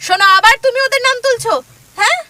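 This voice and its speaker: A woman's voice: extremely high pitch, fast talker, no room sound. A woman playing a fiery young wife who argues back indignantly in a radio play. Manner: sharp, indignant, argumentative.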